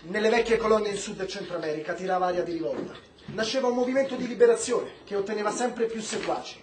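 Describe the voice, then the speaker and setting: Casting: male actor for impassioned, ironic Cuban man, radio drama, high voice, lecturing delivery, roomy echo. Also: quick pace, over a noisy crowd, lightly acted. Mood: sardonic lecturing. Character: Miguel, impassioned Cuban man, sardonic and politically outspoken. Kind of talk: Italian theatrical radio drama dialogue.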